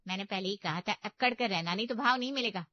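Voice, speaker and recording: A woman's voice: medium pitch, fast talker, no echo, dull audio, close to an everyday voice. A woman voicing a fussy, emphatic young female wedding relative in a Hindi comedy cartoon. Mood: alarmed, insistent.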